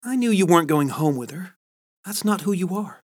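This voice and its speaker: Witty prim saleswoman , man doing her, raised pitch, teasing. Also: lively intonation, moderate pace. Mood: warm. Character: poised witty married woman sales rep.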